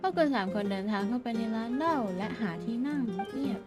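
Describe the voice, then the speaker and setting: A woman's voice: fairly high pitch, expressive, very fast, loud music behind the voice. A woman game streamer giving casual commentary and reading aloud, blunt and sweary. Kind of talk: casual gaming commentary and rapid read-aloud.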